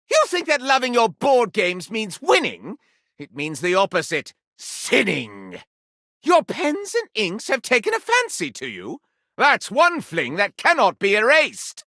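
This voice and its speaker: A flamboyant, pompous gossip from a video game, forever scandalized. The pitch leaps wildly up and down, and the tone is bright and piercing. The recording is dry and close, and the delivery is pure melodrama in flowery, old-fashioned language. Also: male voice, no room sound, heavily acted. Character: flamboyant, scandalized, theatrical gossip decrying improper love affairs. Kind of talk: theatrical video game character voice acting.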